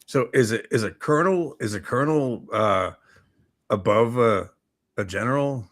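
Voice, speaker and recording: A man's voice: medium pitch, moderate pace. A man chatting casually as a talk show host, rambling and hesitant, often repeating his words. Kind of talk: casual chat.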